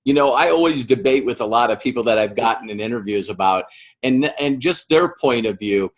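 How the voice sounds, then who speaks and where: A middle-aged male host talks excitedly and rambles, restarting his sentences and using many fillers. His voice has an ordinary pitch for a man. He speaks in a loose, conversational style. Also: a little fast. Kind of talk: interview.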